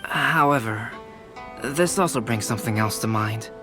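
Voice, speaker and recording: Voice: mid-range voice, moderate pace, music in the background, natural voice. A polite, thoughtful character in a game cutscene, a little nervous.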